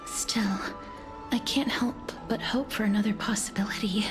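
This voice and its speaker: A woman playing a gentle, courteous, wistful young woman in a fantasy game, speaking softly and politely. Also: medium pitch, fairly even intonation, moderate pace, whispered, natural voice. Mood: wistful hope. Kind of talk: dramatic fantasy game voice acting. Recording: game music behind voice.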